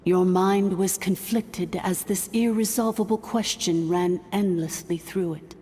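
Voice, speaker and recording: An ancient machine ruler speaks low and mellow in a long, cavernous reverb. The manner is formal, imperious and calm, solemn game dialogue with music underneath. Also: female voice, slightly rough. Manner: formal, measured, stately. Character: calm, imperious female ancient dragonkind artificial intelligence sovereign.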